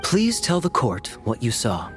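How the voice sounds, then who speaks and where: A man playing a smooth-talking, wry defense advocate in a fantasy game trial. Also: mid-range voice, wildly swooping pitch, a little slow, fairly bright tone, soft background music. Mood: polished, reasoning. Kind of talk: scripted fantasy game cutscene acting.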